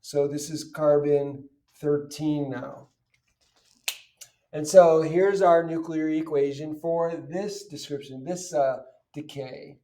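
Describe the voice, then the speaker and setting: A man's voice: a little high, steady tone, slow pace, dark tone, recorded from a distance. A man teaching in an informal, step-by-step way, posing questions and answering them himself as he explains. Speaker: chemistry teacher. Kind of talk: informal step-by-step lesson, worked examples.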